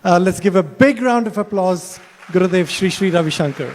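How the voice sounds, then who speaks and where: A man's voice: sits high, fairly quick, a little mellow. A man acting as master of ceremonies, formally thanking the guests and calling for applause. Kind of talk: event emcee thanks. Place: large hall with audience. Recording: reverberant hall, crowd and hiss.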